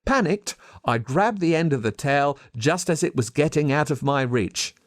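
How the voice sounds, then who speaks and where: An Australian man reading a scripted children's story as narrator, with dramatic, expressive delivery. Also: fairly high pitch, moderate pace, no room sound, studio quality. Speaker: audiobook narrator.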